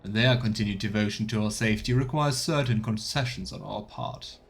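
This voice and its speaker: A man's voice: fairly low pitch, moderate pace, creaky. A man streaming a fantasy role-playing game, reading text aloud and chatting in a playful, self-questioning way.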